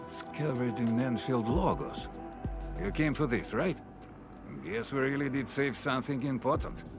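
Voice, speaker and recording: A man's voice: mid-range voice, animated, moderate pace, creaky, music in the background. A man playing a friendly, grateful worker in a video game, casual and earnest. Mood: eager.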